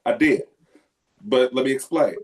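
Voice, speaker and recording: A man casually telling a profane, animated story as a podcast co-host. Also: mid-range voice, measured pace. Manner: animated, profane, loud yelps when acting out.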